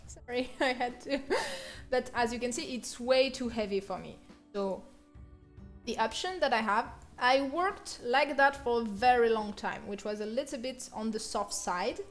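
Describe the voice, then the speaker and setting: High-voiced French-accented woman with music behind. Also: animated, unhurried. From France. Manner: chatty, playful, wandering into self-mocking asides. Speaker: drawing teacher and livestreamer. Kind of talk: casual livestream drawing tutorial.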